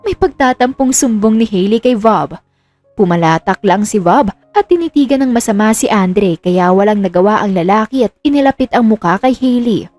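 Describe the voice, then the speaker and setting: A woman's voice: medium pitch, lively intonation, moderate pace, darkish tone. A woman reading scripted narration of a romantic drama as the storyteller. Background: music.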